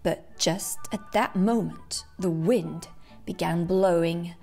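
A woman's voice: fairly low pitch, slow pace, dark tone. A woman narrating a rhyming children's storybook aloud with theatrical, dramatic phrasing. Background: classical music.

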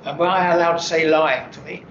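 Measured older man in an echoey studio. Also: fairly high pitch, fairly even intonation, fairly bright tone, dull audio, a little hiss or hum. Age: 70s. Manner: measured, qualifies his points, laughs at his own wording. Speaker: interview guest, retired senior official. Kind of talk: studio interview conversation. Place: green-screen TV studio, seated.

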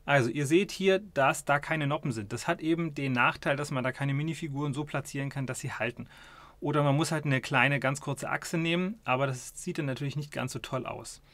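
A man in his thirties calmly explaining a model as he turns it in his hands, talking casually at a table indoors. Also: medium pitch, fairly quick, no room sound. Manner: explains calmly while handling and turning a model. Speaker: toy model reviewer.

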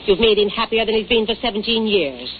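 A woman's voice: medium pitch, expressive, fast, natural voice. An actress playing a stern, bluntly scolding, no-nonsense woman in a 1940s radio drama. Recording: tinny, hissy 1940s radio recording.

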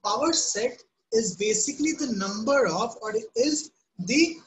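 A woman's voice: a little high, lively intonation, unhurried, a little hiss or hum, poor audio. A woman teaching a live online math class, often asking "right?" and "okay?" to check on students. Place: home room.

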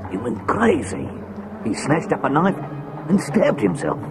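An actor playing a shaken antique dealer giving a witness account in an audio drama. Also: male voice, mid-range voice, huge pitch swings, a little slow, music in the background. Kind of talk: read-along audio drama acting.

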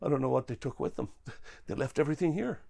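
A man casually narrating his walk through abandoned houses, easygoing and halting, with frequent chuckles. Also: mid-range voice, expressive, gravelly, warm tone, no room sound. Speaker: vlogger. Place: outdoors in a rural ghost town and inside abandoned houses.

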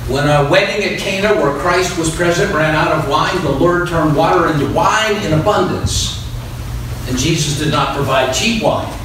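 An older American man preaching a sermon as guest bishop, measured and formal, with deliberate pauses. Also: a little high. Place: church sanctuary, indoors. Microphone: far camera across the church. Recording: echoey church, distant mic, noisy.

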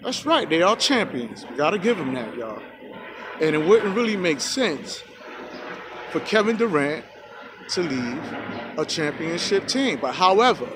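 A man in his forties vlogging outdoors into a phone held at arm's length, giving excited, preachy sports opinions and calling out "y'all" to his viewers. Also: fairly high pitch, noisy background. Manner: hyped, preachy, keeps saying "y'all". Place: outdoors by a rocky hill in a park. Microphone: phone selfie camera at arm's length.

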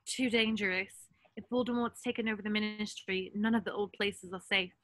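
Woman playing a bright, clever teenage witch. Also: medium pitch, steady tone, a little fast, no room sound, close to an everyday voice. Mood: practical. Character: clever, practical, bossy teenage witch girl. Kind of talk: amateur screenplay table read. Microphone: video call.

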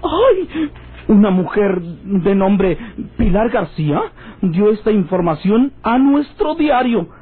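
An actor playing a doting, easily rattled Mexican husband in a melodramatic old radio drama style. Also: male voice, high voice, very animated, slow, husky, full character voice. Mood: alarmed, tearful. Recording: muffled, tinny old radio serial recording.

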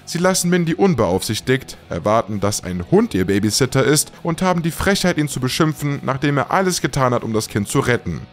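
An animated man reads a scripted countdown as the host. His mid-pitched voice moves up and down a lot, with faint music behind it. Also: fairly quick, few pauses. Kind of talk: scripted narration of a top list.

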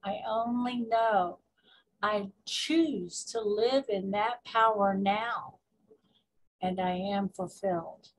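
A woman with a mid-pitched voice leads a guided meditation like a spiritual coach. She gives instructions deliberately, in short repeated phrases, leaving space between them as she moves through affirmations. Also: slight background noise, low-quality recording.

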